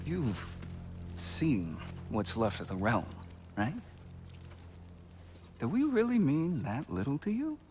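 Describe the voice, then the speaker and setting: A man voicing an impassioned, charming brother, a roguish exiled ruler, in a video game with expressive, theatrical delivery. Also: mid-range voice, slow pace, pauses often, faint music behind. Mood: hurt, pleading.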